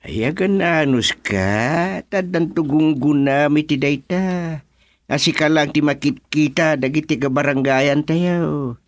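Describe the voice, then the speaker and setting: A man's voice: fairly high pitch, measured pace, no room sound, natural voice. A man acting an exasperated Ilocano village chairman. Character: exasperated male village chairman, strict about absent officials. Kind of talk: radio drama comedy acting.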